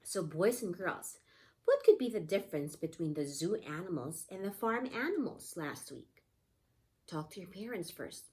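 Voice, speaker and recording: A woman's voice: fairly low pitch, expressive, moderate pace, a little mellow. A woman teaching young children in a read-aloud lesson, explaining simply and prompting with repeated questions. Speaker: pre-K teacher.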